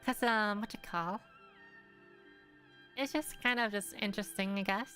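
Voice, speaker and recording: Young woman streamer, bright tone, rambling, hesitant, lots of ums, faint game music. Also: mid-range voice, fairly even intonation, a little slow. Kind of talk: casual gameplay commentary.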